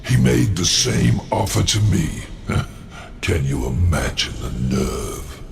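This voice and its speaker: Sly, gravelly demon lord. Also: male voice, low-pitched, sing-song, takes it slowly, full character voice. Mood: amused, scheming. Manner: smug, silky, eloquent. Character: sly, urbane, self-serving male demon lord. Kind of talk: theatrical video game acting. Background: music.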